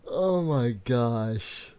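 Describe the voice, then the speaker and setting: A man's voice: medium pitch, very slow, clean tone. A man in his late twenties from the United States, a podcast host chatting casually and acting out quoted dialogue in his stories. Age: late 20s. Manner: animated storyteller, acts out quotes. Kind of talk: casual chat.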